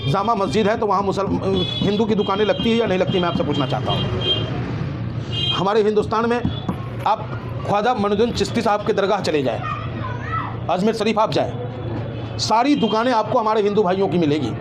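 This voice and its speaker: A man's voice: high voice, animated, brisk, pauses often, noisy background. A man giving impassioned opinions as an interview guest, emphatic and indignant, full of rhetorical questions.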